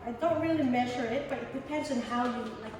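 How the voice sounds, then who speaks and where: A woman's voice: fairly high pitch, quick pace, background noise. A young Filipino woman chef demonstrating a recipe, talking with many ums and restarts. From Philippines. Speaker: guest chef. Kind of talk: cooking demonstration. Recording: echoey, far from the mic, music behind.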